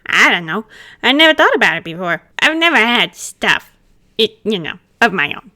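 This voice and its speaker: Woman playing a cheeky, animated male cartoon spirit. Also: medium pitch, moderate pace. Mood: subdued, wistful. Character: giggly, cheeky male spirit, wry and eager. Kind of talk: amateur roleplay read-aloud of a cartoon character.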